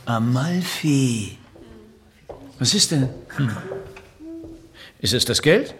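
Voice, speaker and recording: A male art curator in a radio drama, generous and gently teasing. He talks slowly and deliberately at a middling pitch, pondering as he goes. Some ambient noise sits behind his voice. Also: expressive. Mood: urging, concerned. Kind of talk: conversational radio drama acting.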